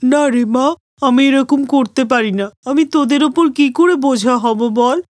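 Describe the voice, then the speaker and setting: A woman's voice: high-pitched, a little slow, darkish tone, dry recording, studio quality. A woman voicing a wronged, humble elderly mother in a plaintive manner for a cartoon moral story. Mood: sorrowful, humble.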